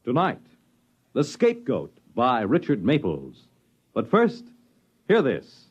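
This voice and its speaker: Theatrical male announcer with sweeping pitch. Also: wildly swooping pitch, slightly rough. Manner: portentous, theatrical, deliberate. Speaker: radio show announcer. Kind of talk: dramatic 1950s radio announcing. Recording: dull old radio recording, loud music.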